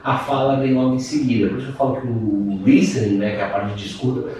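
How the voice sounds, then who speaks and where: A man hosting a casual podcast chat as a Mandarin teacher, explaining quickly and animatedly. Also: mid-range voice, darkish tone, recorded from a distance. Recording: echoey room, off-mic, faint hiss.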